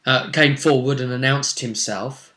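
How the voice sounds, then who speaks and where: A man with a bright, crisp-edged voice of middling pitch tells the story of a tabletop role-playing session. He speaks off the cuff as the game master, in a conversational, rambling way full of asides. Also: steady tone, measured pace. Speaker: game master and presenter of a role-play recap series.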